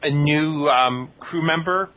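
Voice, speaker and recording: A muffled, lo-fi recording of a man with a somewhat high voice. He speaks casually, as in a loose podcast chat. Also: slow pace. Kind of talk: casual chat about comics. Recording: dull, lo-fi podcast audio.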